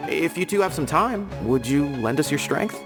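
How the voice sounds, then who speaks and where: A man's voice: fairly high pitch, animated, normal speed. A man voicing a polite, earnest male academy official in a video game, explaining matters formally and courteously. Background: game music.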